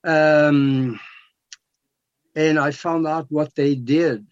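A man's voice: fairly high pitch, steady tone, very slow, smooth, no room sound. A man in his seventies from Norway with a Norwegian accent, explaining things emphatically as a talk radio guest. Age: 70s. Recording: dull lo-fi talk radio audio.

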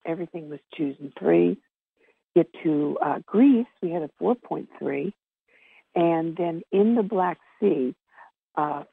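A woman with a gravelly, husky voice giving an informal region-by-region report as a presenter on a conference call, over a narrow phone line. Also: low voice, dry recording. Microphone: telephone.

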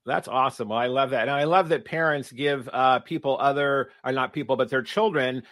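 A man's voice: mid-range voice, a little fast, fairly bright tone, no echo. Dry-sounding male podcast host. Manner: rambling questions with restarts and fillers. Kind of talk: podcast interview.

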